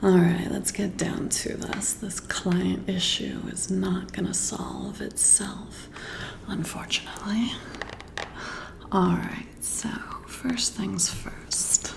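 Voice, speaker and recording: A woman playing a friendly, teasing boss working late, in a breathy, intimate, soft-spoken ASMR roleplay. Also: mid-range voice. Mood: approving, confiding, then coaxing, playful. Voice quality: breathy, whispery. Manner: slow, intimate, conversational. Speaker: ASMR performer.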